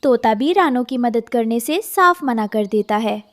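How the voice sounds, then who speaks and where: A woman's voice: fairly high pitch, lively intonation, unhurried, no echo, studio quality. A woman narrating a scripted children's cartoon story.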